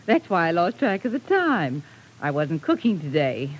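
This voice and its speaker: An actress playing a spirited, bossy middle-aged housewife with an emphatic manner in an old radio drama. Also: female voice, fairly low pitch, sing-song, moderate pace, low-quality recording. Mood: light, affectionate. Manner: emphatic, sharp-tongued. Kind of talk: 1940s radio drama acting.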